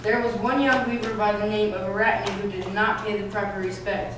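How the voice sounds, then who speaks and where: A woman's voice: medium pitch, fairly even intonation, a little fast. Woman narrator in a very echoey room. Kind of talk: scripted storytelling narration, readers theatre. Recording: echoey room, distant mic, noisy.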